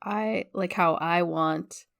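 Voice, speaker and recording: A woman in casual podcast chat, telling everyday stories with animation and frequent laughter. Her voice sits slightly low for a woman. The sound is dry and close, recorded with headphones on. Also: slow, vocal fry, no room sound. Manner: laughs a lot, animated storytelling. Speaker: podcast guest co-host. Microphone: headphones, studio mic.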